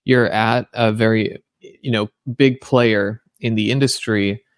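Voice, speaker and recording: A man hosting a podcast interview, asking probing questions and pushing for clearer answers. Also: on the low side, fairly even intonation, takes it slowly, dry recording, clean studio sound. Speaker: podcast host.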